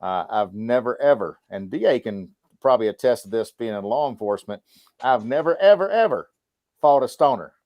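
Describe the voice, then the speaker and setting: A man's voice: medium pitch, expressive, fairly quick, darkish tone, no room sound, highs cut off. A middle-aged American man co-hosting a casual livestream talk, laid-back and colloquial, repeating words for emphasis. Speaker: podcast co-host.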